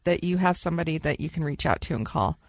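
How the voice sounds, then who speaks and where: A woman's voice: sits low, lively intonation, fast, no echo. Chatty woman co-host, dry close sound. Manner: upbeat and chatty. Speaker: podcast co-host. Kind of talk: casual interview talk.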